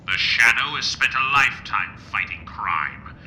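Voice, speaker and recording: An actor playing a grim, relentless crime-fighting vigilante in an old-time radio drama, eerie, deliberate and theatrical. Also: male voice, medium pitch, expressive, vocal fry, bright, forceful tone, a little hiss or hum, full character voice, low-quality recording. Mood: ominous, doom-laden.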